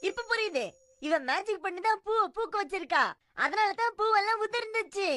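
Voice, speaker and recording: A woman's voice: extremely high pitch, fairly quick, pushed and bright. A woman voicing a suspicious, accusing schoolchild in a children's cartoon. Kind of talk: Tamil-dubbed children's cartoon acting.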